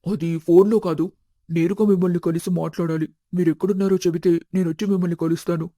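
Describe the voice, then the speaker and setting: A man voicing a worried young husband in an animated moral story, polite and serious. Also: sits high, quick pace, dry recording, clean studio sound. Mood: polite, urgent.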